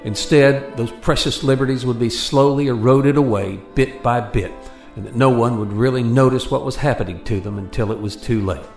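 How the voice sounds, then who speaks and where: A man's voice: medium pitch, normal speed, vocal fry, a little mellow. A man hosting a radio program, moving between dramatic storytelling and talk. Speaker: radio show host and narrator. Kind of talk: dramatic narration and radio chat. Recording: roomy echo, music bed.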